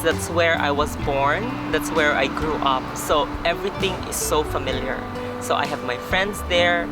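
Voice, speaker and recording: Calm Filipino speaker in their forties. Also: medium pitch. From Philippines. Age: 40s. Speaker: interviewee. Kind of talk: nostalgic interview answers. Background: loud background music.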